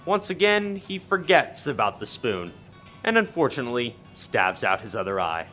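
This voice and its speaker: A man narrating a scripted comic murder mystery as animated storytelling, with noise in the background. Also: medium pitch, normal speed, faint music behind. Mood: dry storytelling. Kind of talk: scripted radio play narration.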